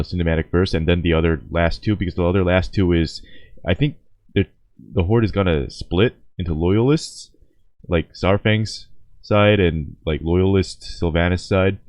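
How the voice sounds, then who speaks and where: Deep-voiced man, casual reaction commentary. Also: a little mellow, dry recording. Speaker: reaction video host. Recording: dull lo-fi close dry mic.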